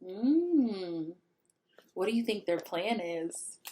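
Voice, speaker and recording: Woman, children's read-aloud, very lively pitch, unhurried pace, expressive, encouraging asides to young listeners. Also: a little hiss or hum. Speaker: teacher reading a picture book aloud to young children.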